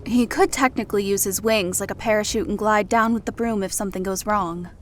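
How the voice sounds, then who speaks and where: A woman's voice: medium pitch, a little fast, faint music behind, lightly acted. A woman playing a cool, composed fantasy woman with a dry, icy edge in an audio story.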